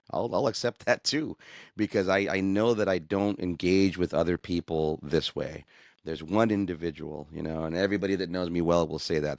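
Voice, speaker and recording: A man's voice: deep voice, no echo, studio quality. A middle-aged man telling his divorce story in a candid interview, earnest and restarting often, rising with indignation. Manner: earnest, animated when indignant, many restarts.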